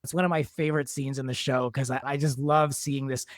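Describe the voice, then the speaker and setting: A man's voice: medium pitch, steady tone, fairly quick, no echo, clean studio sound. A man talking casually as a panelist on a podcast about a drama. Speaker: podcast panelist from the show's team. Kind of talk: casual discussion of a drama episode.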